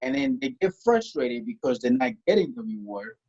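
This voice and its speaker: A man's voice: mid-range voice, wildly swooping pitch, fairly quick, gravelly, mellow, breathy edge, highs cut off. Emphatic man born in South Sudan. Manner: emphatic, rhetorical questions, frequent "you know" and "right?". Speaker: guest, tax strategist. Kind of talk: conversational interview.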